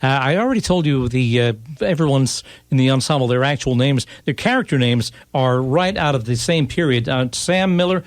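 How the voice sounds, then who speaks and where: A man's voice: mid-range voice, brisk. A man hosting a casual live radio interview in a studio, chatting in a folksy way. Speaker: radio host and performer.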